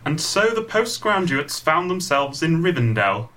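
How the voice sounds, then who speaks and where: A man's voice: on the high side, sing-song, measured pace, bright, forceful tone, faint music behind, heavily acted. A man playing a wry, impatient narrator telling a comic pantomime story from a script. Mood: storytelling.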